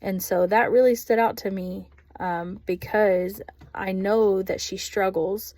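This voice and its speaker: Halting Texan woman with a steady tone. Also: mid-range voice, dry recording. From Texas. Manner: thoughtful, halting, frequent ums. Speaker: ESL aide. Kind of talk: spoken reflection on a course video.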